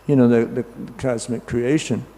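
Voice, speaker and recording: An older man giving an informal scripture class, talking loudly and in a halting, rambling way full of ums and you-knows. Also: medium pitch, steady tone, in a large hall, poor audio. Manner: loud, says he has a loud voice.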